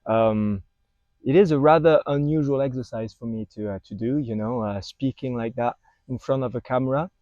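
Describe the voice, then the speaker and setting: A man's voice: mid-range voice, normal speed, dark tone, dry recording, dull audio. A man in his twenties with a French accent, speaking hesitantly to camera in a park, with lots of fillers and restarts. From France. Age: 20s. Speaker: vlogger. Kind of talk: casual talk to camera. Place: city park outdoors. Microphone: wireless clip-on mic recorded to phone.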